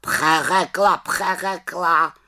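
A woman's voice: lowish voice, fairly even intonation, bright, forceful tone, voice pushed up. A woman voicing a wise, nagging male raven in a children's storybook, scolding and squawking as it talks. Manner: scolding, exclaiming, squawking delivery.

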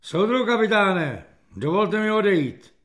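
Man playing a cheeky, high-pitched army private. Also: animated, fairly bright tone. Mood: eager, matter-of-fact. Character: cheeky army private playing innocent before his superiors. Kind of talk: audiobook character acting.

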